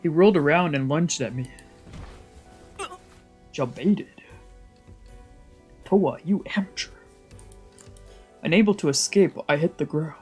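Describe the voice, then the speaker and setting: A woman's voice: low-pitched, unhurried, pauses often, a little mellow. A woman streamer reading visual novel narration aloud on a let's play. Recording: music behind the voice.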